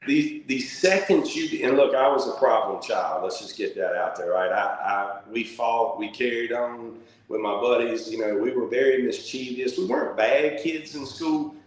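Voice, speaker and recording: A man's voice: mid-range voice, normal speed, few pauses. A middle-aged man, chatting casually as an interview guest in a folksy, plainspoken way. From rural Louisiana, United States. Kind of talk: casual reminiscing conversation. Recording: very echoey, reverberant room sound.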